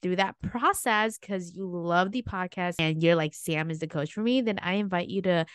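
An upbeat woman hosts her podcast in a chatty, casual way, often tagging on "like" and "okay?". Her voice is low for a woman and nasal from a stuffy nose, and the recording is very dry and close. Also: normal speed, smooth, no echo. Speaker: podcast host and weight loss coach. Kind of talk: casual solo podcast talk.

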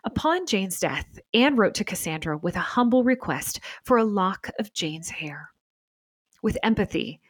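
Female narrator, mid register, for scripted history podcast. Articulate, measured storyteller, very dry sound. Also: mid-range voice, no room sound.

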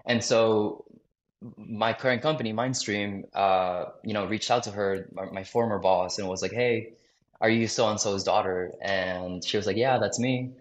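A man's voice: lowish voice, steady tone, a little slow, echoey room. A young man from South Florida, a sales director, chatting casually as a podcast guest and rambling with lots of fillers and restarts. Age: young adult. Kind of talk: casual interview talk.

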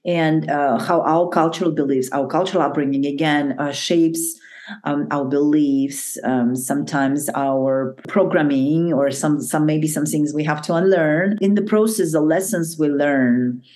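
A woman's voice: sits low, unhurried. A woman hosting an interview podcast, affirming her guest and asking long questions. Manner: warmly affirming, repeats praise. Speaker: podcast host, network founder.

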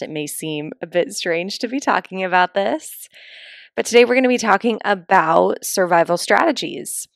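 A young woman talks through her solo podcast in an earnest, chatty way, her voice a touch raspy from travel. Her tone sits a little low, and the sound is dry and close with no room around it. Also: dry recording. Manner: chatty, earnest, laughs often, many fillers like "like" and "you know". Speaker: podcast host and business coach. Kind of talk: casual solo podcast monologue.

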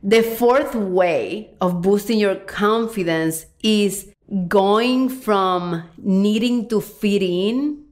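A middle-aged woman from Cuba speaks in a mid-range voice with a Cuban Spanish accent, her first language being Spanish. She talks through a solo podcast as a coach, earnest and animated, drawing out key phrases and racing through quick asides. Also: medium pitch. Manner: earnest, animated, slows for emphasis and speeds up in asides. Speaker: podcast host and confidence coach.

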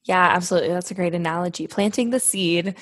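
Lower-voiced woman host, upbeat and affirming, dry close sound. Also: quick pace, no echo. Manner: affirming, encouraging. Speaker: podcast host. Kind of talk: podcast interview questions.

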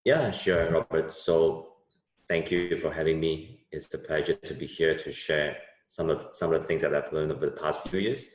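A man in his thirties from Indonesia, a software business owner answering interview questions casually, with many fillers and restarts. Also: rumbling low, moderate pace. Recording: roomy echo.

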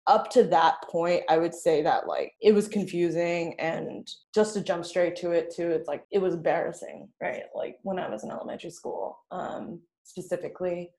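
Chatty woman, low voice, lots of "like". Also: dark tone. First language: Vietnamese. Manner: chatty, filler-heavy, frequent "like" and laughs. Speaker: podcast guest, songwriter. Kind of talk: candid conversational storytelling.

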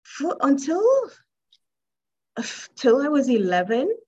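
A woman's voice: high voice, big pitch swings. A woman from Trinidad and Tobago, a guest telling her life story in an interview, reflective and hesitant, over muffled call audio. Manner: reflective, unhurried, with soft laughs and hesitations.

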